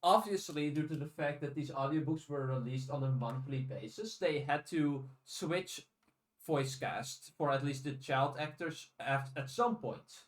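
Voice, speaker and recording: A man's voice: on the high side, measured pace, far from the mic. A man hosting a podcast in casual conversation, thinking aloud analytically and often restarting his phrases. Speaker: podcast host. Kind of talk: casual chat.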